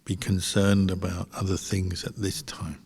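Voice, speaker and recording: A man, a Buddhist monk, guiding a meditation calmly and without hurry, with a husky voice and long pauses between short phrases. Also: low-pitched. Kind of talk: guided meditation instruction. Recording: reverberant hall, long echo.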